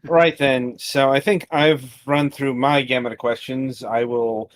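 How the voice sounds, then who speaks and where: A man hosts a live-streamed interview at a slow, unhurried pace and a middle pitch. He asks his questions politely and in a measured way. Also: fairly even intonation, slight background noise. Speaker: podcast host, interviewer.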